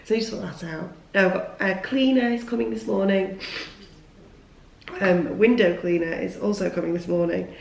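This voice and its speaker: A woman's voice: medium pitch, moderate pace, vocal fry, echoey room. A young woman who has lost her voice after a cold, chatting casually and rambling to camera from home. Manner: chatty, rambling, self-deprecating. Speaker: vlogger. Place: at home, indoors.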